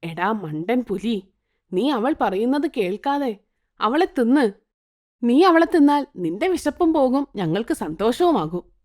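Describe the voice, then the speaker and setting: A woman's voice: on the high side, sing-song, measured pace, darkish tone. A woman voicing a cruel, scheming middle-aged stepmother in a cartoon, speaking in a scornful, goading way.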